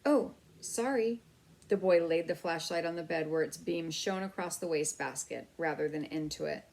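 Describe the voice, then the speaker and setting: A woman storyteller reads a children's book aloud. Her voice is on the low side with a clear vocal fry. The narration is expressive. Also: a little fast.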